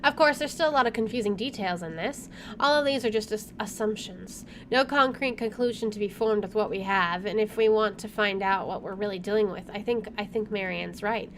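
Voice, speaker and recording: A woman's voice: mid-range voice, brisk, few pauses, fairly bright tone, slight background noise. A woman hosting a let's play, reading visual novel narration aloud, stumbling over words now and then and adding side comments.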